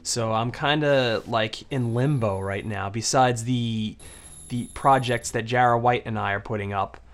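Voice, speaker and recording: A man's voice: mid-range voice, a little slow. A man talks through his old videos in a loose, unscripted way. He is still getting over a cold and has a slight cough. He rambles, stops to say um, and restarts his sentences often. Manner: rambling, hesitant, many ums and restarts. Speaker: video commentator. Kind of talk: casual spoken commentary.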